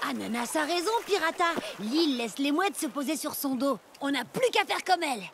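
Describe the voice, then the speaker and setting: A woman's voice: sits high, expressive, fairly quick, creaky, background noise. A woman playing a confident, helpful young boy seaplane pilot in a French cartoon. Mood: focused. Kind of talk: French cartoon dub acting.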